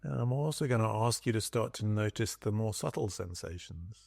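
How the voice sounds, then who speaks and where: A man leading a guided body scan meditation as a teacher, speaking in calm, gentle instructions with long pauses. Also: on the low side, creaky, dry recording.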